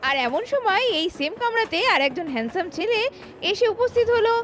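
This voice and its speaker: A woman's voice: very high voice, sing-song, fairly quick, a bit bright. A woman reading scripted story narration as the narrator.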